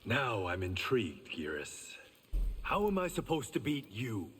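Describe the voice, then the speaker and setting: A man's voice: lowish voice, huge pitch swings, unhurried, a bit bright, a little hiss or hum, heavily acted. An actor playing a stoic, wry swordsman in a fighting video game. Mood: wry, confident. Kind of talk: video game dialogue, battle banter. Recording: hall reverb, music behind.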